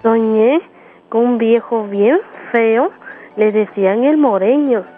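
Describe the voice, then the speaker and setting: A woman's voice: a little high, takes it slowly. Woman doing naive young mexican ranch girl, radio soap, whiny n cheeky, bit of music behind, muffled sound. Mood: sleepy, dreamy. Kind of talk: comedic radio soap acting.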